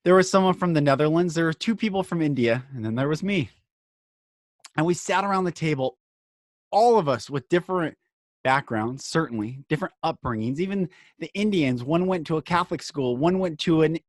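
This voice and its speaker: A man's voice: fairly high pitch, animated, no room sound, clean studio sound. Earnest man giving an inspirational podcast monologue. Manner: earnest, emphatic, dramatic pauses and short phrases. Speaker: podcast host and speaker.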